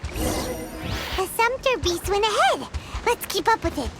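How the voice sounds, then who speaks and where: Brief: an excitable fairy game sidekick, childlike voice way up high, over game music. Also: female voice, creaky, full character voice. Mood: curious. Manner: excitable, quick to exclaim. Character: tiny floating fairy companion, chirpy and excitable. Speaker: video game companion character.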